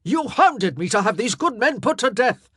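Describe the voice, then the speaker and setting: A woman voicing a fierce, grieving king in his forties who speaks loudly and commandingly. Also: on the low side, expressive, unhurried, no room sound, clean studio sound. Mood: furious. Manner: loud, commanding and wrathful.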